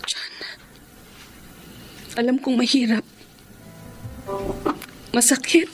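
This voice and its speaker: A high-pitched Filipina mistress, devoted and self-sacrificing, speaks with plaintive, melodramatic heartache. Music runs clearly behind her. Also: steady tone, very slow. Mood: sorrowful resolve. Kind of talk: Filipino radio drama acting. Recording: radio drama with music underneath.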